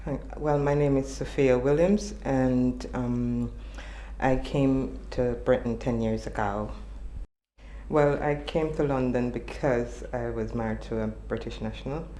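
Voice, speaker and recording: A woman with a Jamaican accent answering interview questions in a measured, thoughtful way. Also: a bass voice, steady tone, dark tone. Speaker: journalism student interviewee. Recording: echoey room with faint noise.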